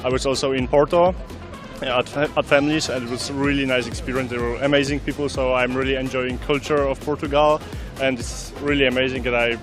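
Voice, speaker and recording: A man's voice: medium pitch, fairly even intonation, fairly quick, over music. A man from Poland with a Polish accent, speaking eagerly with fillers. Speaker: interviewee.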